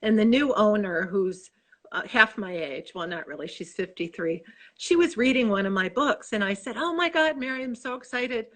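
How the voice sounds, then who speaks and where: A woman's voice: medium pitch, animated, normal speed. Chicago lady in her 60s, author on a video call, gushy and rambly, keeps restarting sentences. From Chicago, USA. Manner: effusive, rambling, self-interrupting. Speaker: author guest. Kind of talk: casual interview chat.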